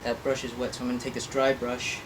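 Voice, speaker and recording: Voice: mid-range voice, flat delivery, fast talker, very noisy. A person giving casual, self-deprecating hobby commentary in an informal way, talking through each step of the work. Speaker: craft video creator. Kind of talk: casual hobby vlog commentary while painting. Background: music.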